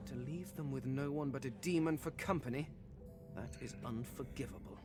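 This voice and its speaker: A man playing a compassionate, indignant fantasy game adventurer, speaking earnestly and gravely. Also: mid-range voice, moderate pace, music in the background, close to an everyday voice. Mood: grave indignation.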